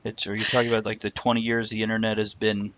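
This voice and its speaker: A man's voice: fairly low pitch, completely flat delivery, fast, a bit bright, no echo, highs cut off. A man hosting a casual, conversational live-streamed talk show.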